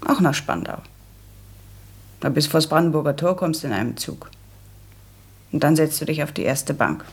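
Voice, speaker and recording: An actress playing a brisk, practical young woman in a radio play, bossy and matter-of-fact, with a husky, creaky voice. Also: deep voice, lively intonation, exaggerated voice. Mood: patient, explaining. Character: brisk, practical young daughter bossing her fiancé. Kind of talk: German literary radio play acting.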